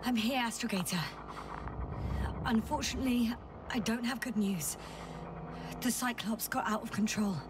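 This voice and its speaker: An actress playing a level-headed, wary space crew scientist in a video game, reporting in short conversational phrases. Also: female voice, a little high, normal speed, pauses often, background noise, natural voice. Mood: guarded.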